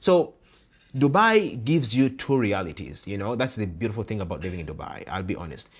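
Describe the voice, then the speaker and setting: Brief: young man, fairly low voice, earnest host who repeats himself for emphasis. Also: very animated, brisk, breathy edge. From Uganda. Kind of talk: casual interview chat.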